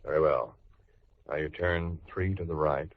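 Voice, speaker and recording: An actor playing a bossy older banker and husband in a vintage radio comedy, pompous and blustering. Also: male voice, extremely low pitch, a little slow. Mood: conspiratorial. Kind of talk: 1940s-50s radio sitcom acting. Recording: muffled old radio recording, faint hiss.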